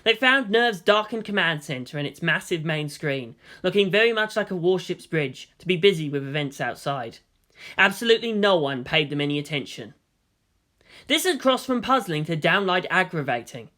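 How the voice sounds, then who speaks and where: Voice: mid-range voice, expressive, normal speed, sharp tone. A narrator reading a story aloud in a dramatic, scripted audiobook style.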